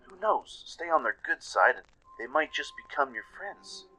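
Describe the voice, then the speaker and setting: A man voicing a playful, gently chiding inner voice that encourages the heroine in a radio play. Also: mid-range voice, animated, normal speed, husky, soft background music, voice pushed up, poor audio. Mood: encouraging.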